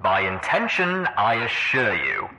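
A man playing a confident, calculating pony villain in an audio drama, speaking in a deliberate, theatrical, self-assured way. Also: on the low side, very animated, reverberant, very noisy. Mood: smug, amused. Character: confident, theatrical pony villain, calm schemer fond of monologue.

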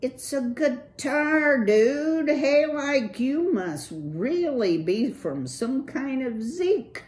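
A woman's voice: sits high, lively intonation, extremely slow, few pauses, a little mellow, voice pushed up, exaggerated voice. A woman voicing a cool, slang-talking young male city mouse with a laid-back, breezy drawl. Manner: laid-back surfer slang, keeps saying "dude".

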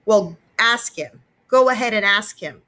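A woman voicing an exasperated fourth-grade boy who argues loudly and insistently. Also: medium pitch, measured pace, voice pushed up. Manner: loud, insistent exclamations.

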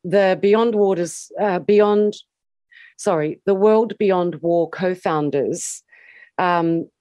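A woman's voice: slow pace, warm tone, no echo. A woman speaking earnestly and with passion on a political radio panel. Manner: earnest, impassioned, rising pitch when stirred.

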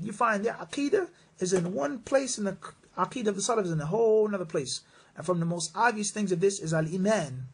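High-pitched man giving religious advice, slightly husky. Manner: emphatic, repeats phrases for stress; mixes in Arabic religious phrases. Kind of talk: religious advice, question and answer talk.

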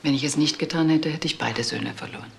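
An actress playing a bitter, betrayed middle-aged wife and mother who confesses haltingly in a crime radio play. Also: low voice, gravelly, slight background noise.

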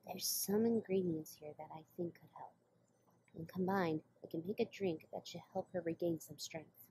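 A woman's voice: lowish voice, normal speed, dark tone, close to an everyday voice, low-quality recording. A woman voicing an earnest, knowledgeable young pony mare in a fan cartoon. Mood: thoughtful. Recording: faint noise, played-back web video.

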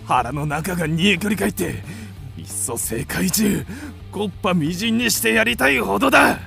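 An actor playing a pompous, short-tempered landowner in a radio drama, formal yet explosive. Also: male voice, sits high, very animated, normal speed, a bit bright, soft background music, heavily acted. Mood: furious. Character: blustering, short-tempered male landowner and creditor, scornful of women.

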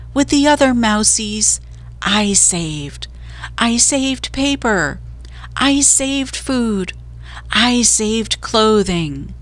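A woman playing a whiny, high-pitched, theatrical little mouse. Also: gravelly, dry recording. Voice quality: high, pitiful, choked with emotion. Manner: melodramatic, flowery, old-fashioned phrasing. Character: whiny, theatrical, miserly male mouse, self-pitying.

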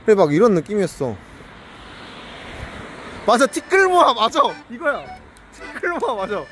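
Excitable man with lively pitch. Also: moderate pace, a little hiss or hum. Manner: excitable, exclaims often. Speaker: food vlogger host. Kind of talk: casual mukbang chat. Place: busy restaurant. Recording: loud background music.

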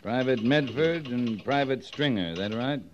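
An American man playing a dry-witted, authoritative cavalry captain in a 1950s radio western, clipped and deadpan. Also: medium pitch, a little slow, lightly acted. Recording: dull, noisy old radio recording.

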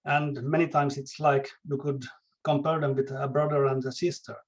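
A man from Finland with Swedish as his native language and a Finland-Swedish accent, a chef speaking as a guest in a podcast interview, measured and careful, sometimes searching for words. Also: mid-range voice, flat delivery.

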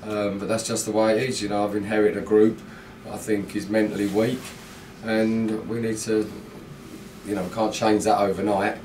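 A middle-aged football manager talks bluntly about a loss, pausing on fillers in a low, flat voice. He is recorded in a small room that rings with echo, heard from a mic set back from him. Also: far from the mic, slight background noise. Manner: frustrated, blunt, rambling with fillers. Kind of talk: post-match interview. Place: small indoor club room.

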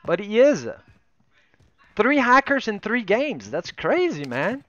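A man's voice: high voice, slow pace, dull audio. A man in his thirties whose first language is Polish, chatting casually in English and French while streaming a shooter game at home on a headset. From Poland. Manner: switches between French and English, excitable when calling out cheaters. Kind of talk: casual gaming commentary. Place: room at home, indoors.

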